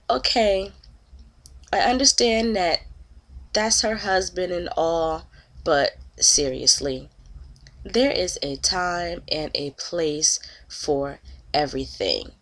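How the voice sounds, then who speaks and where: A woman's voice: fairly low pitch, takes it slowly, long pauses, a bit bright. A woman in her thirties with a cold, sounding congested and nasal, chatting and gossiping to camera from home. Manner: chatty, openly disgusted commentary. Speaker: vlogger. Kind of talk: casual gossip chat. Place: at home, indoors.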